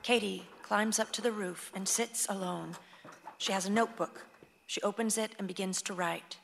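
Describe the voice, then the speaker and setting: A woman narrates scripted stage directions. Her voice is medium in pitch with a strong creak. Faint background ambience sits behind her. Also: steady tone, normal speed, a bit bright, slight background noise.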